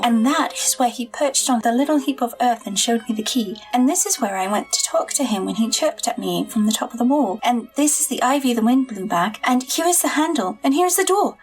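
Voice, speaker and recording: Woman as a high-voiced, earnest storytelling girl. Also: a little fast, hardly any pauses, soft background music, natural voice, poor audio. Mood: wondering, then urgent, insistent. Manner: storytelling, wonder-filled, expressive. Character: earnest, wondering young girl recounting her adventure. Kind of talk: audio drama narration and dialogue.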